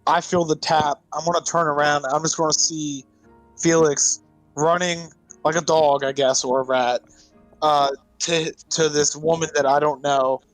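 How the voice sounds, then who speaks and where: A man's voice: a little high, little pitch movement, measured pace, fairly bright tone, no room sound, low-quality recording. A man playing a tabletop game, casually narrating his character's actions in the first person. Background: faint music.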